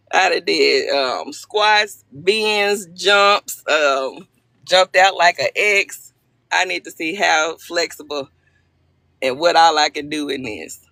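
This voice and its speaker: A chatty, playful woman talks to her viewers over a live stream, her voice gravelly with a bright, forceful edge. She laughs easily and greets people by name. The phone recording is close and dry. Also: on the low side, unhurried, no room sound. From Arkansas, US South. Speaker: livestream host.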